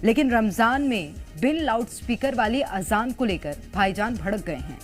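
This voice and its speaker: A woman's voice: on the high side, expressive, normal speed, over music. A woman reading scripted news as an anchor.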